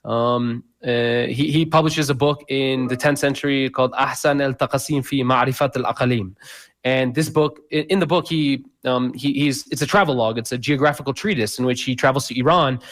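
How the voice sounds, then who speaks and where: A man's voice: mid-range voice, fairly even intonation, moderate pace, a bit bright. A man speaking as a guest scholar in a radio interview, explaining at length with many fillers and restarts.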